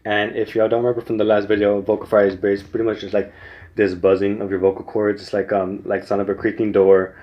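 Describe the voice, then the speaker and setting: A young man explains singing technique in a loose, rambling way, restarting his sentences often. His speaking voice sits on the low side. The recording sounds dull and lo-fi. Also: level tone, fast talker. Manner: rambling, many restarts and fillers. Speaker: singing tips vlogger. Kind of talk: casual spoken tutorial.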